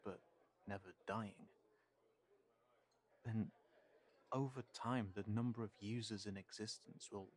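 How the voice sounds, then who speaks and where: Casting: a man as a careful, worrying fantasy companion, deep-leaning voice, unhurried halting delivery, intimate one-to-one audio drama. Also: steady tone. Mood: worried, hesitant. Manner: hesitant, halting, thoughtful, trailing off. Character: cautious, worried man in a fantasy world, protective of companion. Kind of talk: intimate audio roleplay acting to one listener.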